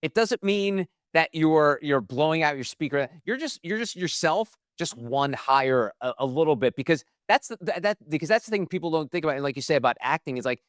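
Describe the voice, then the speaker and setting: A man hosting a podcast asks questions and chats in a relaxed, conversational way, with frequent fillers. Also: fairly high pitch, brisk, few pauses, no echo, clean studio sound. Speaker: podcast host. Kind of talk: casual interview chat.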